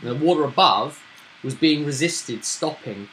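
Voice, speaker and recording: Bright-voiced man recapping a fantasy game. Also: on the high side, animated, unhurried, a little hiss or hum, rough recording. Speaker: game master recapping a tabletop campaign. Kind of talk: casual recap of a roleplaying game.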